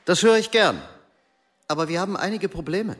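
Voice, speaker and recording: A man's voice: high voice, lively intonation, normal speed, fairly bright tone. A man acting a lonely, hesitant middle-aged American businessman who turns impatient at work, in a German radio drama. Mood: assertive. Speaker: actor in a radio play.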